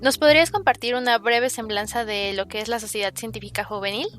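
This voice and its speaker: A woman co-hosting a science podcast, speaking in a clear, measured way. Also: on the high side, steady tone, fairly bright tone, soft background music. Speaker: podcast co-host. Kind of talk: podcast interview chat.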